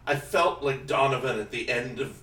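A man in his thirties in casual chat, animated and laughing often, slipping into exaggerated quoted voices. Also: medium pitch, normal speed. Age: 30s. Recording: roomy echo, distant mic, faint hiss.

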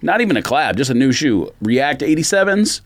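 A man's voice: a little high, very animated, a little fast. A man on a podcast panel, debating casually in a blunt, opinionated way.

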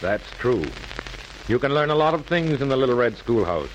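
A man's voice: medium pitch, very animated, moderate pace, close to an everyday voice. A man playing an earnest, oratorical narrator of a patriotic wartime radio documentary, in 1940s radio style. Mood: earnest, stirring. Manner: oratorical, rhetorical. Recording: dull, noisy old 1940s radio recording.

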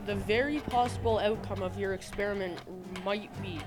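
A woman's voice: mid-range voice, normal speed, loud music behind the voice, natural voice. A teenage girl playing a grave, desperate male factory director in a radio play, firing questions. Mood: stern. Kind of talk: youth radio theatre acting.

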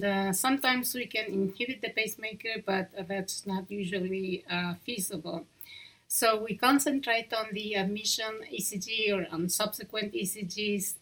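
Argentine woman giving a measured talk. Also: mid-range voice, a bit bright, poor audio. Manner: measured, with frequent "uh" fillers. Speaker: cardiologist guest speaker. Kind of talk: short prepared talk.

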